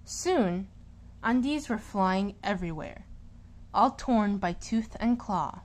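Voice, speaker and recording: A woman reading a children's picture book aloud in an expressive, deliberate storytelling manner, stressing key words. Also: mid-range voice.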